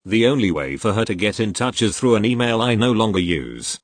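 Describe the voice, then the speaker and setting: A man reading online posts aloud as the regular reader of the channel, in an expressive storytelling way. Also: fairly low pitch, a little fast, no echo.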